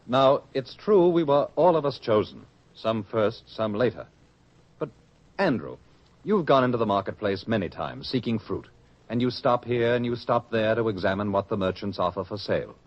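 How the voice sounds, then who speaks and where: A man's voice: on the low side, moderate pace, close to an everyday voice. A man playing a proud, argumentative disciple in a radio drama, speaking in a questioning, oratorical way. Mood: proud, arguing. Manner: questioning, self-assured, oratorical. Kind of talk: old-fashioned radio drama acting. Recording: dull lo-fi radio drama recording.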